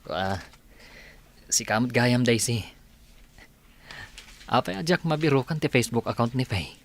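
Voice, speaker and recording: A man's voice: mid-range voice, expressive, unhurried. A man with a northern Philippine accent playing an earnest young man in a radio drama, plain and conversational. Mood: pensive.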